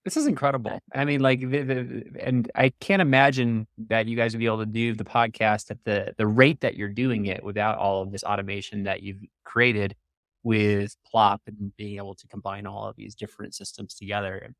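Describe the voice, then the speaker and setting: A man's voice: lowish voice, level tone, normal speed, a bit bright, dry recording. A man acting as interviewer in a casual conversation, speaking in an enthusiastic way.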